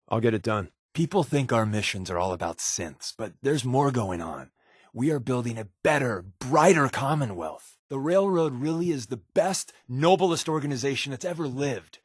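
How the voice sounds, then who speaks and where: A man's voice: mid-range voice, breathy edge, no room sound. A man playing a wry, sarcastic spy for a secret resistance in a video game, glib and joking with dry asides. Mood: friendly, candid, then earnest. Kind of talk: video game character dialogue.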